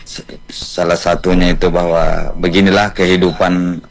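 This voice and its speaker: A man's voice: deep voice, level tone, moderate pace. A man in a film cast giving an earnest, reflective message during a radio interview. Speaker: film actor, guest.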